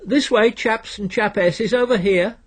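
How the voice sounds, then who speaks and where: Guy reading as a breezy young warehouse lad in an audiobook, chummy, a bit affected, pitched up, jaunty. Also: a little slow, slightly rough. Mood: breezy greeting.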